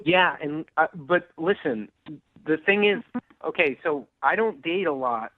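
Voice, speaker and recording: A man calling in by phone, talking casually in a halting, awkward way. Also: fairly high pitch, animated, dry recording. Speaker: caller. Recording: narrow, tinny phone line.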